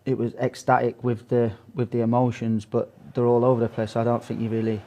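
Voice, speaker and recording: A man's voice: medium pitch, completely flat delivery, brisk, slight background noise. A man, a footballer, reflecting in a documentary interview. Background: soft music.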